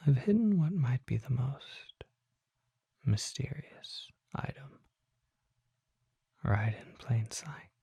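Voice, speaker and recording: An ASMR performer voicing a mysterious, learned vampire apothecary in a breathy, husky whisper, speaking formally in slow, deliberate phrases with measured pauses. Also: mid-range voice, sing-song, dark tone, no echo, exaggerated voice. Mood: hushed, mysterious. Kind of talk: whispered ASMR roleplay, slow scripted monologue. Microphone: close microphone.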